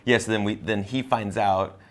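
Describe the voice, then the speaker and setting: Very low-voiced man chatting about movies. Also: fairly even intonation, a little slow, crowd noise. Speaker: co-host of a film review show. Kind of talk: casual movie discussion.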